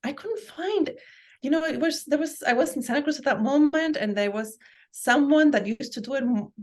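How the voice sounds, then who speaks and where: A woman's voice: high-pitched, animated, moderate pace, a little mellow. A woman from Guatemala, telling her story conversationally as an interview guest, in an enthusiastic, emphatic way. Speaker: guest, family constellations practitioner and life coach.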